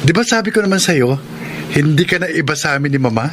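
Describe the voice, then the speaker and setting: A man's voice: fairly high pitch, expressive, quick pace, lightly acted. Man acting kindly young Filipino suitor, noisy backdrop. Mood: friendly, insistent. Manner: reassuring, familiar. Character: kind, courting young employer, gently insistent. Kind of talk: Filipino radio drama acting.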